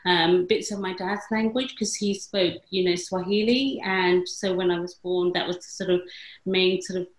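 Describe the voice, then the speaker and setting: Low-voiced woman chatting in an echoey room. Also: steady tone, moderate pace. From England. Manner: rambling, many fillers and self-corrections. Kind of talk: reflective conversational chat.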